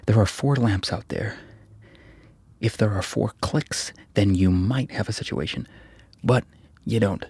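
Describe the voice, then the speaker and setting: An actor playing a jumpy, self-mocking young graduate student in a radio drama, husky and nervously muttering. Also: male voice, fairly low pitch, measured pace, a little mellow, dry recording. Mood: anxious reasoning. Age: young adult. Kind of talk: radio drama acting, interior monologue.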